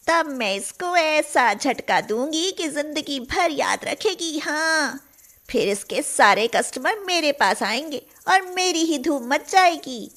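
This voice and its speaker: Woman doing a high, jealous scheming girl. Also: a bit bright, full character voice. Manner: sly, sugary when scheming. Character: jealous scheming young female parlour worker. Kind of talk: storybook voice acting.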